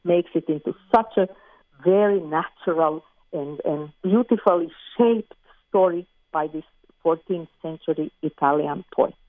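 A woman from Croatia with a Croatian accent, a musician talking thoughtfully and with pauses as an interview guest. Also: deep voice, no echo. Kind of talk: interview over the phone. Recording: tinny phone line, dry.

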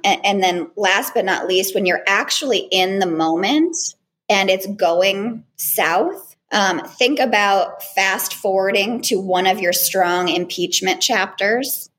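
A woman trial lawyer with a small, girlish voice, answering interview questions in a relaxed way with frequent ums and restarts. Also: medium pitch. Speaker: trial lawyer and cross-examination trainer.

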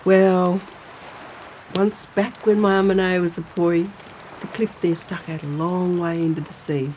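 Actress as low-voiced older Australian grandmother, crickets behind. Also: little pitch movement, a little slow, dark tone, slight background noise, close to an everyday voice, low-quality recording. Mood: wistful storytelling. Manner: homely, colloquial. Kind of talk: naturalistic audio drama dialogue and storytelling.

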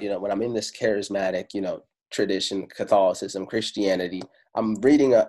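A man whose first language is Italian, telling stories in a casual talk on spirituality. He swears freely and slows down to stress his points. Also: on the low side, a little mellow. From Italy. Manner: casual and profane, slows down for emphasis. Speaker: spiritual speaker.

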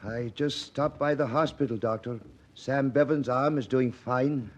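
An actor playing a kindly, plain-spoken older mine official with a folksy, reassuring manner, in 1940s radio drama style. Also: male voice, mid-range voice, steady tone, unhurried, natural voice. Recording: muffled old radio recording.

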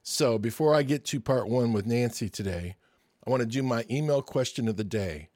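A man's voice: mid-range voice, normal speed, a little mellow, no echo, studio quality. A man in his sixties hosting a podcast, telling stories in a chatty, enthusiastic way and interviewing a guest. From Michigan, US. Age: 60s. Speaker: podcast host. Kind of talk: casual podcast monologue and interview.

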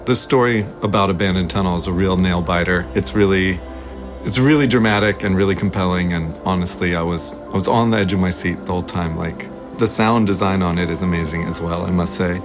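Male co-host, deep voice, casual podcast chat, music clearly audible behind. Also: a little fast.

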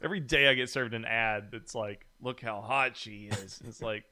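A man's voice: fairly low pitch, steady tone, normal speed, bright, forceful tone. A man in casual podcast conversation, jokey, often slipping into mock quotes.

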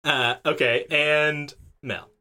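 A man acting as game master for a tabletop game, explaining the rules casually with lots of fillers and quick laughs. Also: fairly high pitch. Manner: lively, laughs easily, many fillers. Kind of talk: running a tabletop game session.

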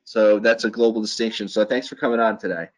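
A man's voice: fairly low pitch, fairly even intonation, quick pace. A man hosting a business podcast, giving an upbeat welcome and reading out his guest's background. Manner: upbeat, reads a guest bio aloud. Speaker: podcast host. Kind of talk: podcast introduction and interview.

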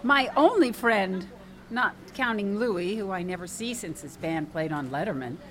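A woman's voice: mid-range voice, lively intonation, normal speed, a bit bright, natural voice. A woman playing a wry, lonely, self-reproaching young woman in a radio drama. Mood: regretful, pleading. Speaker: lead actor. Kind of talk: radio theatre acting.

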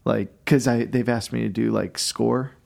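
A man with a booming voice hosting a casual chat, asking questions in a jovial, animated way. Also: a little slow, vocal fry. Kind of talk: casual conversation.

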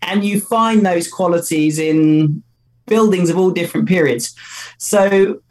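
A man's voice: high-pitched, unhurried, poor audio. A man, co-founder of a company, answering interview questions in an enthusiastic, loose way with many fillers.

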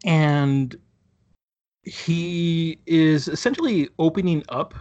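Man, casual podcast chat, slightly high voice, halting, restarts a lot. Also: a little mellow, muffled recording. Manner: rambling, halting with many restarts and fillers. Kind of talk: casual chat reviewing a TV show.

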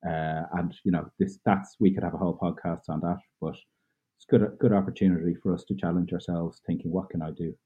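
A man's voice: low voice, fairly even intonation, brisk, husky. A man from Ireland in his thirties, a podcast guest giving earnest, thoughtful answers with lots of fillers. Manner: earnest, thoughtful, loose phrasing with many fillers. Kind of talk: reflective interview answers. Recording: tinny phone-like line.

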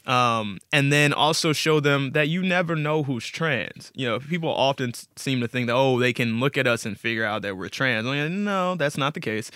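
A man's voice: medium pitch, pushed and bright, smooth, dry recording, studio quality. A man speaking as a guest storyteller in a casual interview, candid and informal, with a self-deprecating way of talking.

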